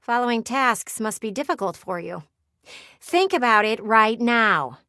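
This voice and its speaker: An actress voicing a playful, sardonic living window in a video game, with a teasing, theatrical and blunt delivery. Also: female voice, fairly high pitch, animated, sharp tone, no echo, clean studio sound, exaggerated voice. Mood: teasing, bossy. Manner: teasing, theatrical, blunt, sighs and drawn-out words. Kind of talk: video game character voice acting.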